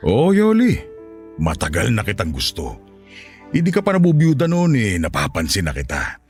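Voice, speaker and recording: An actor playing a lustful, coaxing middle-aged Filipino widower in a Tagalog radio drama. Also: male voice, lowish voice, wildly swooping pitch, normal speed, a little mellow, faint music behind. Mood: smitten, confessing. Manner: suggestive, coaxing, chuckling seducer.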